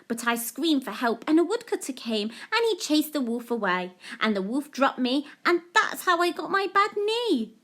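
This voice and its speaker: A woman's voice: high-pitched, sing-song, normal speed, hardly any pauses, fairly bright tone, voice pushed up. A woman voicing a dramatic, boastful little schoolgirl telling tall tales in a breathless, exaggerated way for a storybook. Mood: excited boasting.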